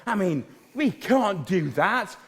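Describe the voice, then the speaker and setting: A man playing a blustering, exasperated and hapless comic king in a pantomime, excitable and prone to stammering. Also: sits high, slow, slightly rough, heavily acted. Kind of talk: broad pantomime stage comedy. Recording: faintly noisy live stage recording.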